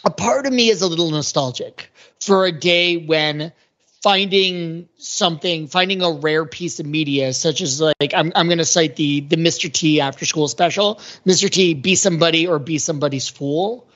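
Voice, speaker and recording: A man's voice: on the high side, normal speed, a bit bright. A middle-aged man in casual podcast conversation, talking emphatically and often checking that listeners agree. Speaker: podcast panelist. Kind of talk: casual chat. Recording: dull lo-fi voice recording.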